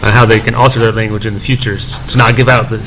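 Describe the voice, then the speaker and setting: A young man introducing himself on a conference panel as an assistant professor, talking in a halting way full of "uh" fillers and restarts. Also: fairly low pitch, flat delivery, fairly bright tone, a little hiss or hum, poor audio.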